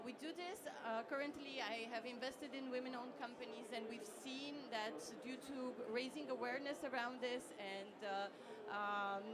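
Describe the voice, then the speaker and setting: A woman from Romania answering on a conference panel, hesitant, with frequent pauses and restarts. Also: on the high side, heavy background noise. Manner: hesitant, many fillers and restarts. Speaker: panelist, tech executive.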